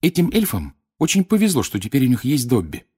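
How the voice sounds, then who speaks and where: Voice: mid-range voice, huge pitch swings, a little fast, darkish tone, husky, pitched above the performer's own voice. A storybook reader voicing a sensible teenage girl who speaks in a firm, matter-of-fact way. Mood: thoughtful.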